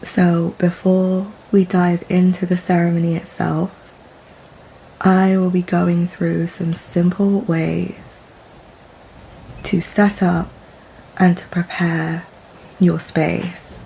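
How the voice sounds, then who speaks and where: A woman leading a guided meditation in a soft, soothing, deliberate manner with long pauses between phrases. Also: lowish voice, flat delivery, gravelly, slight background noise, poor audio.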